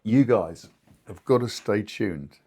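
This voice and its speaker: A man with a fairly low voice speaks as an expert co-host in a casual business discussion. He phrases things informally and haltingly, as unscripted conversation. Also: expressive, a little mellow, slight background noise. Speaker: podcast co-host and two-way radio expert.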